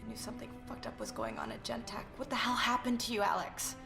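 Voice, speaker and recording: A woman's voice: mid-range voice, very animated, fast talker, creaky, over music. An actress playing a tough, blunt young woman investigative reporter in a video game, talking urgently. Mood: agitated, worried. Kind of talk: video game cutscene acting.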